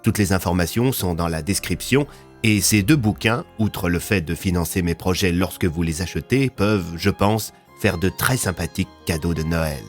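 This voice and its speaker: A man's voice: sits low, normal speed, faint music behind. A man narrating his audio fiction from a script as its author.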